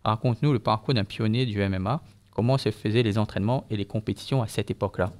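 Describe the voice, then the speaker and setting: A man's voice: on the low side, quick pace, a little mellow. A man hosting an interview, introducing his guest and asking formal, polite questions. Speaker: interview host.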